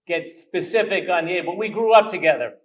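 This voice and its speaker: An older man gives a halting speech, full of restarts and fillers, in a rather high voice. He sounds distant from the microphone, and the sound is dull and muffled. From New York. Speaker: state legislator. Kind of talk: speech at a community event.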